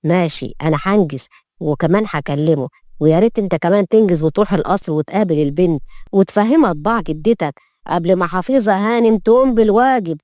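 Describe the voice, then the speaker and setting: A woman's voice: on the low side, quick pace, dark tone, no room sound, natural voice. A woman voices a practical Egyptian man, a loyal pal in an audio story. The delivery is familiar and easygoing, and the recording is dry. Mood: helpful. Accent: Egyptian Arabic. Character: easygoing, helpful male friend of a businessman.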